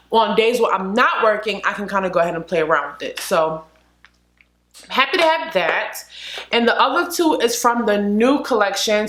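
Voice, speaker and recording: A chatty woman vlogger talks casually at a middle pitch, a bit sniffly from a cold. She peppers her talk with "like" and "literally". Her voice bounces around an echoey room at home. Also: a bit bright. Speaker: beauty vlogger. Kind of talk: casual chat to camera.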